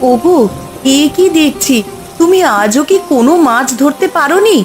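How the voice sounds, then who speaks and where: A woman acts a despairing fisherman's wife in a village cartoon. Her voice is high and anxious, lamenting their poverty. Music and rain are clearly heard underneath. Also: animated, moderate pace. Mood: distressed.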